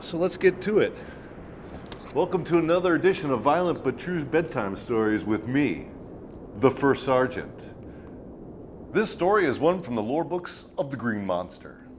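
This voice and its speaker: A man's voice: mid-range voice, animated, moderate pace, slight background noise. A man hosting a military storytelling show, delivering dramatic scripted tales with crude, swaggering, profane humour. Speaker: host of a military storytelling show, a first sergeant.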